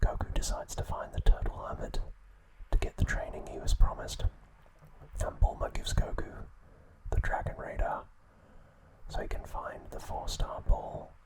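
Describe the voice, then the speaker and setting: A man narrating a recap as an ASMR host in a soft, unvoiced whisper, in short deliberate phrases. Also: poor audio.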